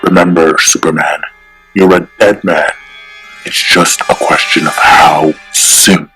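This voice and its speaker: Man voicing a very deep, arrogant comic-book villain. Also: fairly even intonation, pushed and bright, soft background music, full character voice, rough recording. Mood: domineering, threatening. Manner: pompous, deliberate, threatening. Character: arrogant, menacing male billionaire villain, ruthless and domineering. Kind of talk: dramatic comic-book voice acting.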